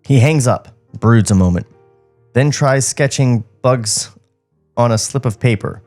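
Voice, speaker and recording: A man's voice: mid-range voice, a little slow, darkish tone, faint music behind. A man co-hosting a podcast, narrating script stage directions and dropping casual joking asides, on a studio microphone.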